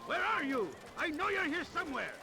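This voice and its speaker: An actor playing an agitated medieval guard in a video game. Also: male voice, very high-pitched, big pitch swings, fairly quick, gravelly, pushed and bright, full character voice. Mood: searching, stern. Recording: distant game audio with crowd.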